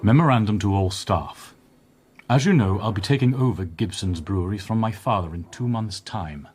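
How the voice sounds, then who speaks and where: An actor playing an arrogant, imperious brewery heir in a radio drama, curt and haughty, with a husky voice. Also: male voice, on the low side, normal speed, darkish tone, a little hiss or hum, natural voice. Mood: commanding.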